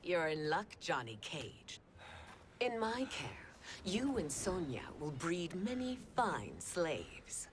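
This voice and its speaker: An actress playing a haughty, cruel villainess in a video game, condescending and theatrical. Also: female voice, lowish voice, huge pitch swings, slow, slight background noise, full character voice. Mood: gloating. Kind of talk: cinematic fighting-game voice acting.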